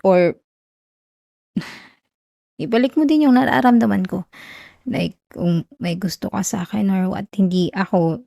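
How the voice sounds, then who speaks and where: A young Filipino woman acting a whiny, shy tsundere college girl confessing love in a soft, hesitant ASMR roleplay. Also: medium pitch, measured pace, vocal fry, pauses often, no room sound. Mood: wistful, tender.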